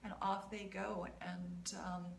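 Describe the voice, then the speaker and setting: A woman in her fifties, a guest hypnotherapist, chatting casually in a relaxed and friendly way. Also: on the low side, takes it slowly, vocal fry. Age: 50s. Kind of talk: casual conversation. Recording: roomy, slight background noise.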